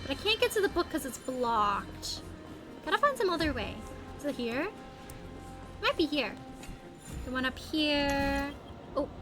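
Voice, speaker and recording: A woman with a very high voice chats through a live game stream with music behind her. Her pitch swings widely as she thinks aloud, playful and quick to exclaim. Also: animated. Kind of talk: casual gameplay commentary, chatting to viewers.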